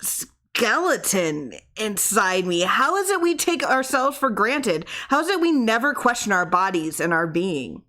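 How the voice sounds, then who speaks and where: A woman's voice: medium pitch, big pitch swings, measured pace, few pauses, pushed and bright. A woman voicing an anxious, brooding husband in a horror story, fretful and full of questions. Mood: horrified. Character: anxious, brooding husband obsessed with his skeleton.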